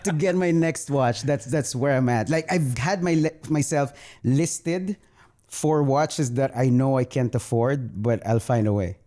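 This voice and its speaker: A man's voice: medium pitch, unhurried, mellow. A man with a Filipino accent chatting casually as a guest host on a podcast. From Philippines.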